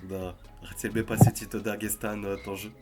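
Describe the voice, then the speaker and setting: A man's voice: lowish voice, level tone, over music. A man, speaking a learned language hesitantly over a video call. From France. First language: French. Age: 20s. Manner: halting, hesitant with many fillers.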